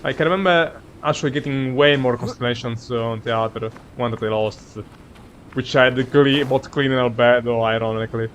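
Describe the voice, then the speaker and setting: A man's voice: mid-range voice, fairly even intonation, brisk, a little hiss or hum. A man who is a non-native English speaker gives casual live game commentary, rambling and searching for words. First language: not English. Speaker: game streamer.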